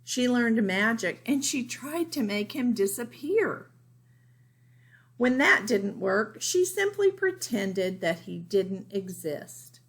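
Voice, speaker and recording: A woman reading a picture book aloud as a teacher, deliberate and emphatic, for young children. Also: on the high side, very animated. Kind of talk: picture-book read-aloud with informal asides.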